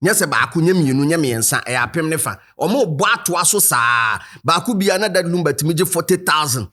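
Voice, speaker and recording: A man with a Ghanaian accent hosting a commentary show, animated and rhetorical, speaking straight to his viewers. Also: a little high, brisk. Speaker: show host. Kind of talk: commentary to camera, mixing Twi and English.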